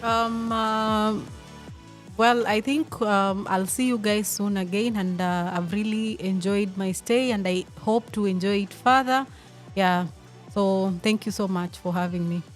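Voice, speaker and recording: A young woman from Kenya, a sales team leader, explaining things casually in an interview, relaxed and chatty with frequent fillers. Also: mid-range voice, unhurried, faint music behind. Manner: relaxed, explanatory, laughs easily, many fillers.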